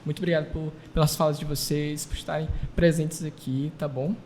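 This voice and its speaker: A man hosts conversationally in a fairly high voice. His phrasing is halting and appreciative, heard with long reverb and a little background noise. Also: little pitch movement, darkish tone. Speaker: podcast co-host. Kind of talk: conversational panel hosting. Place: makeshift studio at a university campus event.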